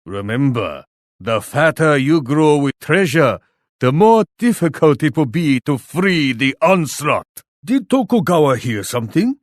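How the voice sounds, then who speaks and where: An actor playing a proud, menacing shogun warlord in a video game, speaking in a haughty, formal, theatrical way. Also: male voice, mid-range voice, dry recording, clean studio sound. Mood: menacing, mocking. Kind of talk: video game character taunts.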